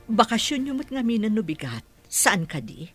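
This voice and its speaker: A woman's voice: medium pitch, sing-song, moderate pace, faint music behind, close to an everyday voice. A middle-aged woman with an Ilocano accent acting an affectionate, eager village mother in a radio drama.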